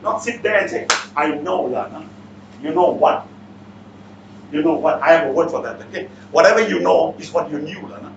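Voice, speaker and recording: Male seminar speaker, Malaysian or Singaporean accent, blunt and quizzing, higher-pitched voice, distant mic in an echoey room. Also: animated, normal speed, gravelly, muffled recording, background noise. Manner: blunt, interactive, repeatedly challenges listeners with questions. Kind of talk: motivational seminar talk, quizzing the audience.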